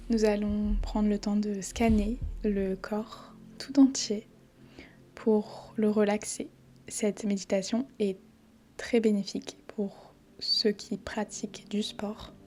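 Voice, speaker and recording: A woman leads a guided meditation very slowly, with long pauses between short phrases. Her voice is soft, breathy and dark-soft, often slipping near a whisper, and stays quite even in pitch. She sounds calm and soothing. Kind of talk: guided body scan meditation. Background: faint background music.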